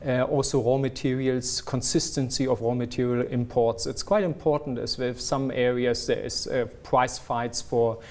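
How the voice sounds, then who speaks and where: A man's voice: mid-range voice, steady tone, moderate pace, few pauses, a little mellow. A middle-aged man with a non-South African accent, a company executive answering business interview questions earnestly, often restarting as he searches for words.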